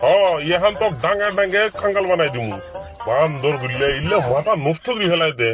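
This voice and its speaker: Exasperated cartoon schoolteacher played by a man, high-pitched male voice, demanding, music behind. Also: lively intonation, fairly quick, close to an everyday voice. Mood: exasperated, scolding. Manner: scolding, demanding. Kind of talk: comedy cartoon dialogue in colloquial Bangla.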